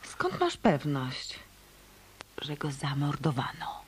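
An actress playing an anxious, world-weary woman in a Polish radio detective play, with a husky, breathy voice and a halting, hushed delivery. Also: low-pitched, huge pitch swings, heavily acted. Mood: despairing.

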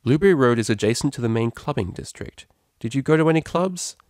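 A man's voice: medium pitch, lively intonation, fairly quick, a little mellow, no echo, studio quality, pitched above the performer's own voice, lightly acted. A man voicing a refined, caring female cellist pony in a storybook, with prim and formal wording. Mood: resigned, caring.

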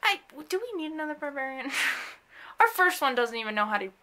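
Young woman, lisp, bright and forceful tone, slightly high voice, rambling casual chat, small bedroom, faint background noise. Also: very animated, brisk. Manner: chatty, rambling. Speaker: vlogger.

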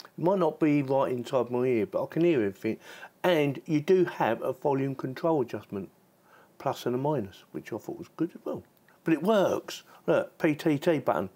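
A man with a mid-pitched voice presents a hands-on tech review. He talks in an informal, chatty way, as if thinking aloud while he shows how things work. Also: expressive, normal speed, mellow. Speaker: tech reviewer and channel host. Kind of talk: casual product review and demonstration.

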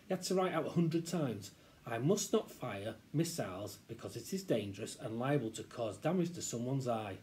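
A man's voice: medium pitch, expressive, moderate pace, a little mellow. A middle-aged man with a British accent reading a children's story aloud expressively, recorded at a desk in a small office.

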